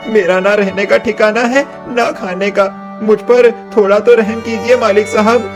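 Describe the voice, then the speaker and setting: A man's voice: high voice, steady tone, normal speed, over music, close to an everyday voice. A man voicing a poor, earnest, plaintive young orphan boy in a moral-story cartoon. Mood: pleading.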